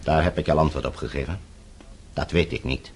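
Husky, menacing state agent. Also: male voice, a bass voice, heavily acted. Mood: coolly threatening. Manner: controlled, measured, veiled threat. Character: cold, menacing male communist state agent interrogating old acquaintance. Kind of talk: radio play acting.

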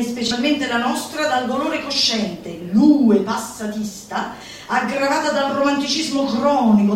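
A woman performer reading a manifesto as theatrical declamation, deliberate and declamatory, with dramatic pauses. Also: on the high side, darkish tone. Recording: roomy, echoing, distant mic, faint noise.

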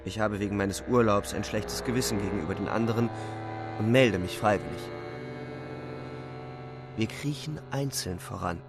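A man acts a young soldier narrating his war in a radio drama. The voice is low and gravelly, subdued yet intense, full of weariness and guilt. Music lies under the words. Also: moderate pace, pauses often, lightly acted. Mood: tense. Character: Paul, young German soldier narrator, weary, anxious, guilt-ridden.

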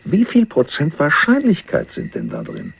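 An actor playing a laid-back, sceptical drifter and jailbird in a crime radio play, gravelly and husky, speaking with teasing, sardonic understatement. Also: male voice, a little high, wildly swooping pitch, moderate pace, heavily acted. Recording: muffled phone-like narrowband radio recording.

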